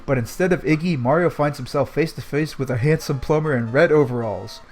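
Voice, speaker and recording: A man's voice: a little high, brisk, darkish tone. A man reading a storybook aloud as host of a group read-along, dropping in casual, amused asides. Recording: music playing behind the voice. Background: music.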